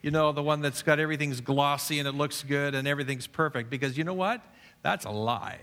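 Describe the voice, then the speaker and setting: A bright, forceful man with a rather high voice, speaking earnestly to a congregation. He often stumbles and restarts his words. His voice rings with the long reverb of a church hall. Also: fairly quick. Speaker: pastor. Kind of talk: church service introduction.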